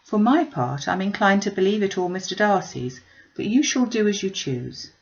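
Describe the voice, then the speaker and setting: A woman's voice: mid-range voice, sing-song, moderate pace, muffled recording. A woman voicing a witty, self-possessed young lady in a classic novel reading, wry, articulate and emphatic. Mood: wry, decided.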